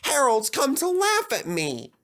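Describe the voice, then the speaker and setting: A man's voice: very high-pitched, huge pitch swings, a little slow, sharp tone, pitched above the performer's own voice, full character voice. A man voicing a plucky, easily indignant young steam engine in a children's storybook, exclaiming plaintively. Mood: indignant, glum.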